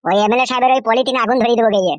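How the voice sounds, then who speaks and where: A man's voice: extremely high pitch, very fast, no room sound, dull audio. A man voicing a hot-headed, gullible village husband in a comic cartoon, blustering and whining in a rural West Bengal accent. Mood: furious, blustering. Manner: blustering, whining, mispronounces words.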